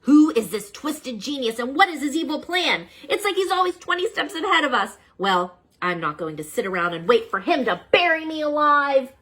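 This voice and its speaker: A woman's voice: high-pitched, sing-song, normal speed, hardly any pauses, fairly bright tone, pitched above the performer's own voice. A woman voicing a determined male hamster superhero, talking earnestly and urgently in a read-aloud storybook.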